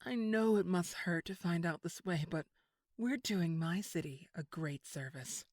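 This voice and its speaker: A woman's voice: lowish voice, measured pace, a little mellow, no echo, clean studio sound. A woman acting a sardonic, menacing enforcer in an audio drama. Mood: cool threat. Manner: smug, sarcastic, threatening.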